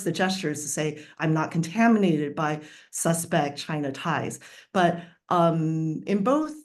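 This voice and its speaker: A woman's voice: low voice, darkish tone. A woman from Taiwan, a professor speaking on a webinar panel over a video call, thoughtful and working through long layered sentences with many fillers. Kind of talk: academic webinar discussion.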